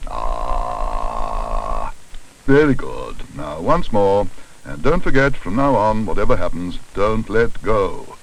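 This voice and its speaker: A man's voice: low-pitched, very animated, takes it slowly, far from the mic, exaggerated voice. A man voicing a fussy, long-suffering male dentist, grudging and professional, in a children's storybook. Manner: hesitant hums and throat-clearing.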